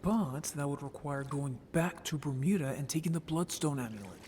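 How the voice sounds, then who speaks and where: A male actor as a gentle young merfolk man, his voice a little high for a man. He is shy and earnest, speaking softly and hesitantly with an anxious edge, in an audio drama recorded with faint noise behind. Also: normal speed, natural voice. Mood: unsure. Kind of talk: audio roleplay drama acting.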